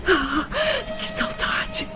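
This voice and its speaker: An actress playing a lovesick young woman in an audio drama, breathlessly passionate and tearful, with drawn-out, sighing delivery. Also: very high-pitched, big pitch swings, over music, exaggerated voice.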